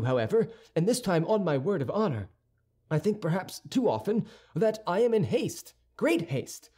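A man's voice: high-pitched, warm tone, slightly rough, voice pushed up, full character voice. A man voicing a hot-headed young male swordsman, talking in an urgent, indignant rush in a storybook reading. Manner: urgent, indignant, quick to take offense.

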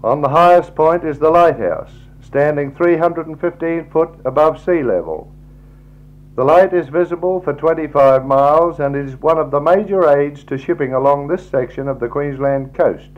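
A man with an Australian accent gives a measured, formal scripted travelogue narration in a 1960s style. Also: a little high. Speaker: slideshow narrator. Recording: dull, lo-fi 1960s tape recording.

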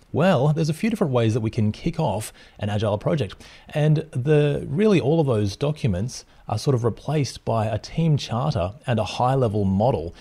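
A man in his thirties explaining project plans over slides, speaking steadily with occasional ums and uhs. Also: medium pitch. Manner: plain, steady teaching with frequent fillers. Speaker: project management instructor.

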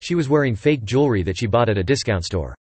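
A man reads English example sentences one after another at a brisk clip, evenly and without flourish. His voice sits at a middle pitch, and the sound is completely dry with no room around it. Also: breathy edge, no echo. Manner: even, clipped reading at high speed. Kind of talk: scripted sentence reading for English listening practice.

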